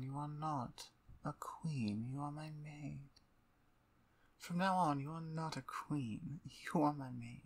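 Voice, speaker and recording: A woman playing a menacing, playful vampire usurper in an ASMR roleplay, domineering and seductive, with breathy speech that often drops toward a whisper. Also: sits low, heavily acted. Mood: domineering, possessive. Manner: slow, deliberate, domineering, teasing.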